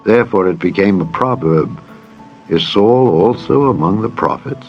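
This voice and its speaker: Low-voiced solemn male scripture narrator. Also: animated, normal speed. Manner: solemn, formal. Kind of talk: dramatized scripted Bible narration. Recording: dull radio-like sound, music behind.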